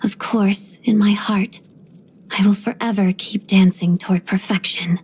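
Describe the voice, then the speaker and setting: An actress voicing a reserved, disciplined young ballerina in a video game, speaking softly in a measured, guarded way with quiet pride. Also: female voice, mid-range voice, steady tone. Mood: guarded, reflective. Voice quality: soft, breathy. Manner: measured, composed, polite but guarded. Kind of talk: video game character voice lines.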